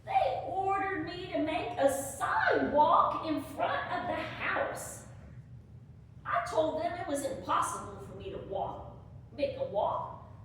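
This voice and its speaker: Slow, echoey woman playing a careworn soldier's wife. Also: high-pitched, full character voice. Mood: indignant, weary. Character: careworn, plainspoken Civil War soldier's wife writing letters. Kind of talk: dramatic reading of historical letters to an audience. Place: echoey room at a live presentation. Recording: echoey hall, distant mic, faint noise.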